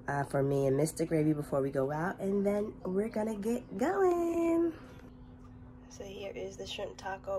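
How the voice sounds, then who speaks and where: A young woman talks at an unhurried pace in a low voice, sounding a little nasal from a cold. She chats and laughs easily into a phone held at arm's length, with noticeable background noise around her. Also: darkish tone. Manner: chatty, laughs often. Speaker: family vlogger. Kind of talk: casual vlog chat. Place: home kitchen, later outdoors in a park.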